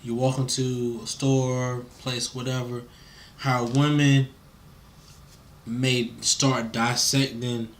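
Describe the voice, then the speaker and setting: A man's voice: medium pitch, little pitch movement, takes it slowly, slight background noise. An American man interviewing in a casual, laid-back conversational way, slangy and checking in with the listener.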